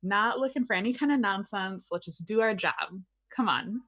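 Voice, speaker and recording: A woman's voice: medium pitch, normal speed, fairly bright tone. A woman chatting casually as a player in a tabletop game, with a playful, wry manner. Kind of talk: casual chat.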